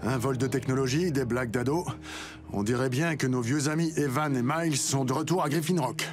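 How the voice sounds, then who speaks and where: A man's voice: mid-range voice, brisk, natural voice. A man voicing a wry police chief and father in a French cartoon dub. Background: music.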